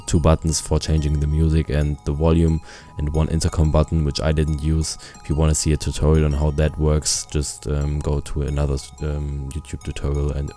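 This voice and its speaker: A man giving a casual product review, explaining things in simple, halting phrases. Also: extremely low pitch, mellow.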